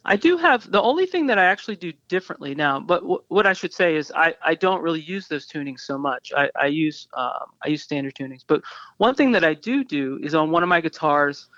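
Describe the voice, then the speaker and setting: A man in his early fifties, a guest musician in a casual interview, talking in a rambling way with many restarts and fillers. His voice sounds high for a man. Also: big pitch swings, brisk, a bit bright, dry recording, highs cut off. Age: 50s. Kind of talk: casual interview about guitars.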